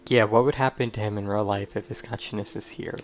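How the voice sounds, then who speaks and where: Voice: mid-range voice, fairly even intonation, quick pace, faint music behind. A player giving casual, offhand commentary over a video game. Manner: offhand and chatty.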